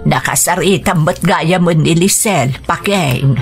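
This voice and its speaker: A woman's voice: fairly low pitch, unhurried, gravelly. An actress with an Ilocano Filipino accent playing a compassionate, troubled elderly grandmother who laments in a radio drama. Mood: sorrowful. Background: music.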